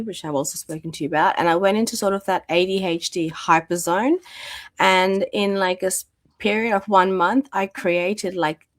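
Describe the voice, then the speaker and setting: A woman's voice: fairly low pitch, dry recording. A woman in her thirties, a guest telling her story in a chatty way with many fillers while losing her voice. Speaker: guest, domestic violence practitioner. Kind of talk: conversational interview storytelling.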